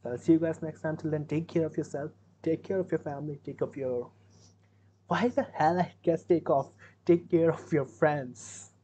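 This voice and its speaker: A man's voice: on the high side, steady tone, normal speed, warm tone, a little hiss or hum. A young man with an Indian accent, giving rambling, casual commentary as he reacts to a music video in a small room at his webcam. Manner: rambling, self-correcting, chatty.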